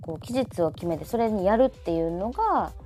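A woman's voice: medium pitch, lively intonation, fairly quick, warm tone. A woman with a Kansai accent hosting a casual live chat, talkative and often chiming in with repeated short responses. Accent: Kansai Japanese. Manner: chatty, frequent repeated backchannels. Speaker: talk show host. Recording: background music behind the talk. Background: background music.

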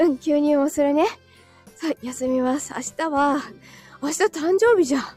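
A woman's voice: high voice, moderate pace, a little mellow, faint music behind. A woman live streamer chatting casually and answering comments, giggly, tired and a little short of breath after being ill. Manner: giggly, often breaking into small laughs.

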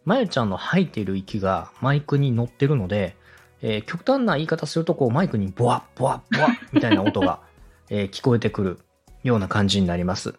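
Male podcast host, husky voice, very varied intonation, polite step-by-step coaching, music underneath. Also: fairly low pitch, sing-song, fast. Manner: polite, explanatory, methodical. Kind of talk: structured advice talk for a podcast.

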